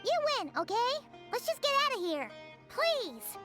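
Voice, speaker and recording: Casting: a squeaky pint-sized fairy sidekick for a game, extremely high and swooping, easily exasperated, music behind. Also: female voice, wildly swooping pitch, normal speed, exaggerated voice. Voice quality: squeaky, childlike. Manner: whiny, exclamatory, talks in third person. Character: tiny childlike female fairy companion, excitable, easily exasperated. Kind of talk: animated video game voice acting. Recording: clear music under the voice.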